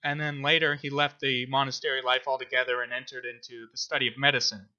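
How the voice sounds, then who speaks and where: A man co-hosting an informal book podcast, speaking haltingly with very frequent ums and uhs. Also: medium pitch, level tone, sharp tone, muffled recording. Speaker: podcast co-host.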